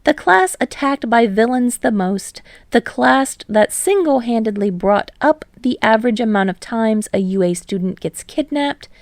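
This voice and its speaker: Woman as a chirpy, high, gleeful school principal. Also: animated, moderate pace, few pauses, no echo, clean studio sound. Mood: beaming, gleeful.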